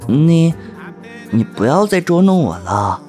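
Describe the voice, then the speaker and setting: A man acting a flustered young geek in love, with a fairly high voice that swings widely in pitch. He is hesitant and easily embarrassed, stammering like the nervous lead of a romance audio drama. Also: big pitch swings, slow pace, a little mellow. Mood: bashful. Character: shy, flustered, lovestruck geeky young man. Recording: music bed under the voice.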